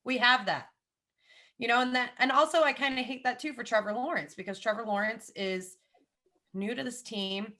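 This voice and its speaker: A woman in her thirties with a Southern American accent, a podcast guest chatting casually and giving animated, opinionated takes with lots of asides and repeated emphasis. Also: fairly high pitch, moderate pace.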